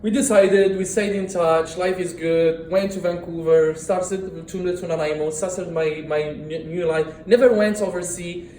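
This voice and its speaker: A man in his thirties gives an earnest prepared speech, often tripping and restarting on words. His voice sits high. The sound is roomy and echoing, with a faint hum of the meeting room behind it. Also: steady tone, a little slow, hardly any pauses, darkish tone. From Morocco. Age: 30s. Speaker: club speaker. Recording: echoey room.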